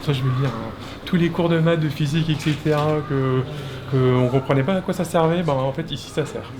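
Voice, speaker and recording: A man's voice: a little high, fairly quick. Chatty man with noisy studio ambience. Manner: chatty, laughs often, many fillers and restarts. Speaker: animation studio staff giving a tour. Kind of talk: casual studio tour explanation. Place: animation studio offices, indoors.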